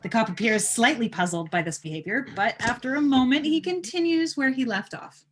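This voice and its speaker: A woman's voice: a little high, fairly quick, fairly bright tone, slight background noise. Woman narrating a screenplay's stage directions. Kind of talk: live screenplay table read narration.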